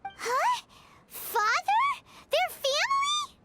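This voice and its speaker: A woman voicing a small, childlike, excitable fairy companion in a video game, squeaky and blunt. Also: extremely slow, a little hiss or hum, full character voice. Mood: startled. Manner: blunt, exclamatory, refers to self by name.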